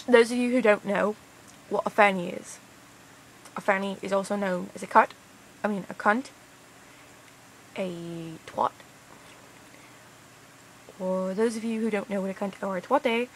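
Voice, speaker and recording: A woman's voice: mid-range voice, slight background noise, low-quality recording. A young woman from Canada rambles casually in a put-on British accent, sounding tired, with crude slang and a hesitant manner.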